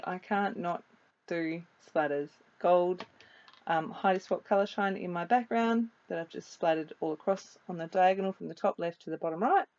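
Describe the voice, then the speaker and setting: A woman with a slightly croaky, rather low voice talks through a voice-over in a relaxed, chatty way, with plenty of ums. The recording is dull and lo-fi. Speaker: crafting video creator. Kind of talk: casual voice-over narration of a craft process.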